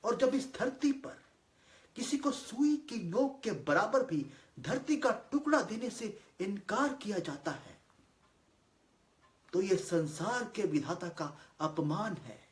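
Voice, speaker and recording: Guy acting as a solemn male god, high voice w big dramatic swings, declaiming a monologue in a roomy echo. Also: animated. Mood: impassioned, indignant. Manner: declamatory, deliberate, with weighty pauses. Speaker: monologue actor. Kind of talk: theatrical dramatic monologue in formal Hindi. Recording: roomy, echoing voice recording.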